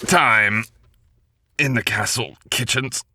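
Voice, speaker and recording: A man's voice: mid-range voice, slow pace, bright, forceful tone, no room sound, clean studio sound, heavily acted. A man voicing a young male knight, wounded, gallant and protective, speaking in an old-fashioned courtly way. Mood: bewildered. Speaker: voice actor. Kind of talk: boyfriend ASMR audio drama.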